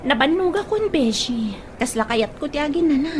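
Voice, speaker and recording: A woman's voice: high-pitched, animated, normal speed, darkish tone, slight background noise. A woman playing a grumbling, fussy young daughter in an Ilocano comedy drama. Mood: weary.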